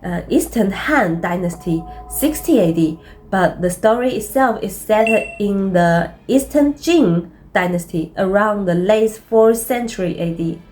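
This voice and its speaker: A woman presents a scripted video essay, speaking earnestly and deliberately in non-native English. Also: medium pitch, expressive, over music. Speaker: presenter of a literary commentary.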